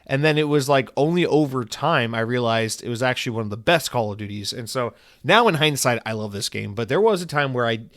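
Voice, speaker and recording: Casual male podcast host in his thirties, middle pitch, tired with a head cold, rambling and profane, dry close mic. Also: expressive, a little fast, a bit bright, no echo. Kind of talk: casual solo podcast chat.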